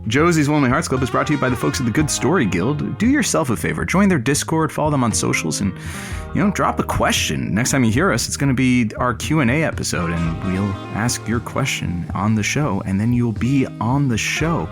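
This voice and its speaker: An actor playing a genial, wry radio producer and announcer, with playful deadpan asides. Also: male voice, medium pitch, fairly quick, close to an everyday voice. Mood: playful announcing. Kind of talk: radio announcing and audio drama banter. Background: music.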